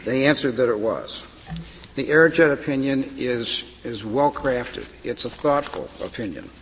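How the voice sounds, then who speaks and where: A man with a soft voice arguing politely and carefully as a lawyer before a high court. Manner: polite, deferential, measured. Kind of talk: formal courtroom argument. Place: large courtroom. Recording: reverberant archival courtroom recording with faint noise.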